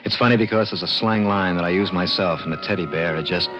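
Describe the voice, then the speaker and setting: Actor as a deep-voiced American mechanic telling his tale. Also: quick pace, background noise, close to an everyday voice. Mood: wondering, tense. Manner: folksy, emphatic, urgent. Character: plain-spoken male garage mechanic in his thirties, haunted storyteller. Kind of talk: 1950s radio drama narration. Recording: dull old radio recording with music.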